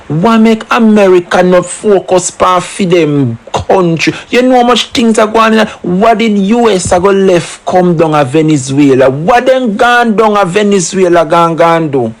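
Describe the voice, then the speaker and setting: A man's voice: high voice, expressive, moderate pace, hardly any pauses. A man giving opinionated solo commentary, full of rhetorical questions and emphatic asides. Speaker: commentary channel host.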